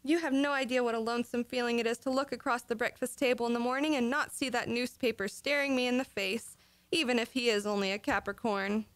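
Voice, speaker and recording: An actress as a daffy, trusting housewife in an old radio comedy, with a fairly high voice in a dry, close sound. She insists on her muddled logic in naive earnest. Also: fairly even intonation, quick pace, fairly bright tone, dry recording, lightly acted, rough recording. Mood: forlorn. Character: scatterbrained, earnest, gullible wife with daffy logic.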